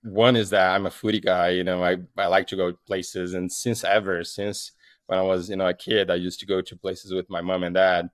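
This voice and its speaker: A man's voice: low voice, level tone, normal speed, no room sound. A man with a Brazilian accent, a guest founder talking in a casual podcast interview, rambling with lots of fillers and restarts.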